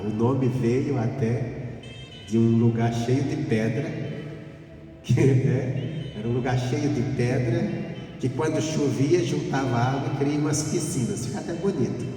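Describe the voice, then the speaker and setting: A man's voice: mid-range voice, mellow. Man preaching in echoey hall. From rural Pernambuco, Northeast Brazil. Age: middle-aged. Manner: conversational, repeats phrases for emphasis. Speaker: pastor preaching. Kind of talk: informal, anecdotal sermon. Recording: echoey hall, distant mic, faint music.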